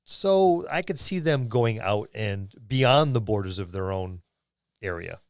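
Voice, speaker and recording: A man with a low voice chats as a gaming podcast co-host. He speaks informally and a little haltingly, working ideas out aloud, in a dry recording. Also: lively intonation, no echo. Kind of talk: casual tabletop gaming discussion.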